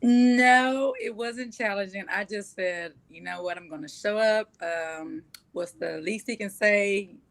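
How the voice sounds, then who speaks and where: A woman's voice: medium pitch, a little slow, vocal fry, hardly any pauses. An American woman giving casual interview answers in a chatty, light-hearted way. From Texas, United States. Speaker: first-time stage actress, interview guest.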